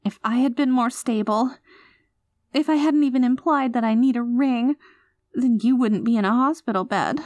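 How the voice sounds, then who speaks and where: A woman's voice: fairly high pitch, normal speed, a little mellow, dry recording, lightly acted. A woman acting an anxious, clingy, doting girlfriend with playful menace, in an intimate, emotive audio roleplay. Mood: tearful, guilty. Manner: emotive, flustered, affectionate, teasing.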